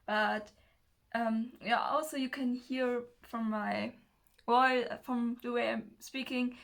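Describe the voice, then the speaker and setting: Slow, hesitant young German woman. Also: a little high, steady tone, gravelly, a bit bright. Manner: hesitant, nervous, halting. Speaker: self-development video creator. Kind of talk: casual personal talk to camera.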